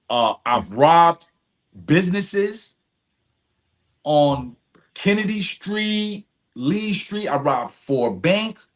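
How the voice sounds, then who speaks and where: Male interview guest, voice a little high, speaks in earnest, emphatic, repetitive phrases. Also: fairly bright tone. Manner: earnest, emphatic, repeats phrases, often checks with "right?". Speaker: podcast guest, community service advocate. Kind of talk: reflective personal storytelling in conversation.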